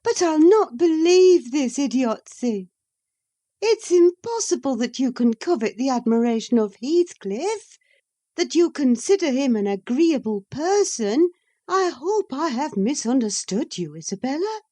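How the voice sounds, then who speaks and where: A woman's voice: high voice, very animated, a little slow, mellow, voice pushed up. A woman voicing a proud, scornful young woman who speaks bluntly and emphatically.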